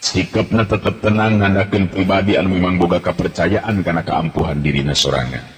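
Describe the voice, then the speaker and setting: Deep voiced guy telling a folk tale, slow and descriptive, old echoey radio with hiss. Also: fairly even intonation. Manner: measured, descriptive. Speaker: storyteller. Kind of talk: traditional radio storytelling narration. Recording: roomy, hissy old radio recording.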